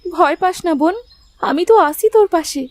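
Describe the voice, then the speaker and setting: A woman voicing a gentle, protective elder sister in a cartoon, soothing and caring in manner. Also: extremely high pitch, normal speed. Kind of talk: Bengali cartoon voice acting.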